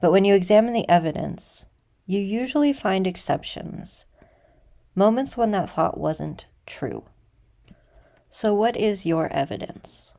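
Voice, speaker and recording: A woman teaching a guided meditation in a measured, deliberate way, leaving pauses between phrases. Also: medium pitch, expressive, creaky, mellow.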